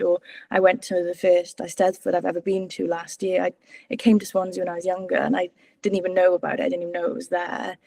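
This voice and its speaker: A woman's voice: lowish voice, creaky, dark tone, dry recording. A woman in her twenties from Wales, giving candid, hesitant interview answers full of fillers and restarts. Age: 20s. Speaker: political candidate.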